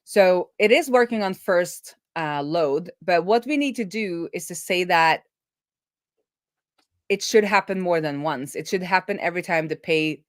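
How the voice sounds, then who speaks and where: A middle-aged woman host talking informally through live coding, thinking aloud and often halting as she works things out. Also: fairly low pitch, no echo, clean studio sound. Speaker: host of a web development live stream. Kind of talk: casual live coding commentary.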